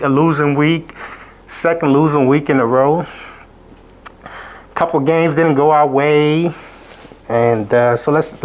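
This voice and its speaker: A hoarse man, sick, hosting a casual college football betting recap, rambling with many fillers and restarts. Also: on the high side. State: hoarse from illness. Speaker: sports betting show host. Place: abroad, indoors.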